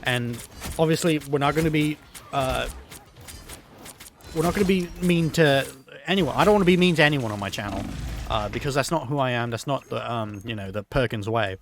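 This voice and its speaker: A hoarse man rambling through an absurd story over gameplay, full of fillers and restarts. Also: mid-range voice, brisk, slight background noise. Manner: rambling, filler-heavy, absurd deadpan tales. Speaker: gaming YouTuber.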